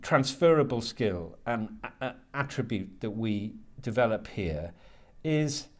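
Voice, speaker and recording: A man giving reflective interview answers as a university lecturer, halting and repeating words as he thoughtfully works toward his point. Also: fairly low pitch, lively intonation. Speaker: university creative writing lecturer being interviewed.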